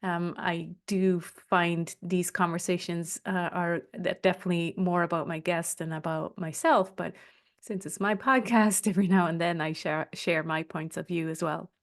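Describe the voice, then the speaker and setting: A woman's voice: fairly low pitch, steady tone, normal speed. A middle-aged woman from Switzerland presenting a solo podcast, talking directly to listeners from loose notes. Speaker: podcast host and business coach. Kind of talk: solo podcast talk to camera, partly scripted.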